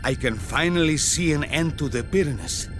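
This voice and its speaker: An actor playing a vengeful, gloating servant of a dark dragon in a video game, with a grandiose, sinister delivery. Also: male voice, a little high, normal speed, lightly acted. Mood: feigned serenity. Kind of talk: video game villain acting. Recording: loud music behind the voice.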